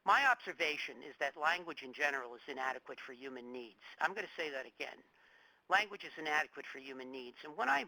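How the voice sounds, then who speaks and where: A middle-aged man from New Jersey giving a public lecture, talking in a halting way with fillers, restarts and joking asides. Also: on the high side, sharp tone, rough recording. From New Jersey, United States. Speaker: guest lecturer.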